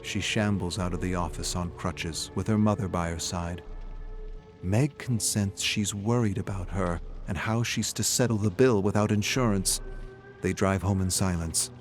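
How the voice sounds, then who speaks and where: A man's voice: deep voice, normal speed, soft background music. A man narrating a scripted story in a dramatic style.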